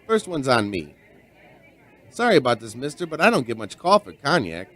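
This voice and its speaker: An actor playing a chatty, folksy bar owner, rambling conversationally through a radio drama monologue. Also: male voice, medium pitch, huge pitch swings, moderate pace, fairly bright tone. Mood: reflective, curious.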